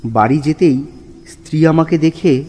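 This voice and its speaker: A man's voice: fairly high pitch, unhurried, mellow. A man playing an anxious husband who narrates his own haunting in a horror audio drama, uneasy and dramatic. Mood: apprehensive. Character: anxious married male narrator, haunted follower of the tantric. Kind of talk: first-person horror story narration with acted dialogue. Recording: music under the voice.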